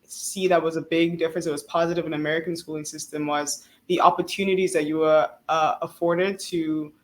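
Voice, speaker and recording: A woman's voice: low voice, level tone, fairly quick. A young woman from South Africa whose first language is Swahili, speaking as a student guest in a casual interview, chatty, earnest and emphatic. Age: young adult.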